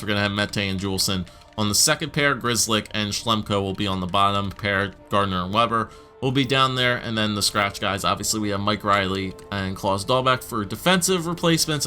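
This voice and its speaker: A young man gives casual commentary on his hockey game series, chatty and upbeat, full of fillers and restarts. His voice is bright and forward. A faint bed of music runs behind him. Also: fairly low pitch. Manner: upbeat, chatty, many fillers and self-corrections. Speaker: gaming YouTuber.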